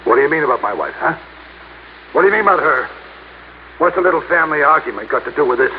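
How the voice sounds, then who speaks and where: A man's voice: a little high, animated, fast talker, narrow, tinny audio, lightly acted. Man acting a rattled crook, hissy old radio. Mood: defensive, agitated. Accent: American. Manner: stammering, repeating words, agitated. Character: rattled, defensive small-time crook and guilty husband. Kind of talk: 1960s radio drama acting. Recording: old, band-limited, hissy radio recording.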